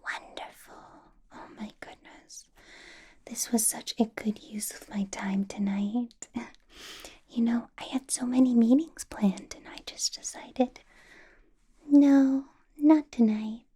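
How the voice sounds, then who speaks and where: A woman playing a doting, possessive female vampire boss in an intimate ASMR roleplay, coaxing and flirting in a breathy whisper. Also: fairly high pitch, steady tone, slow pace, dark tone, heavily acted. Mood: pleased, tender. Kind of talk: ASMR roleplay, personal attention.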